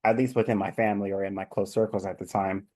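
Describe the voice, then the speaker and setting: Male guest in his 30s from NYC, low mellow voice, candid personal storytelling. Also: level tone, quick pace. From New York City. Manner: open, reflective, with frequent restarts. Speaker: life coach, guest. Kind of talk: conversational storytelling.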